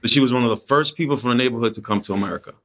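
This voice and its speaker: A middle-aged man from the Dominican Republic, a painter guesting on a podcast, telling stories about his childhood in a relaxed, reflective way. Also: mid-range voice, racing. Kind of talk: casual storytelling interview.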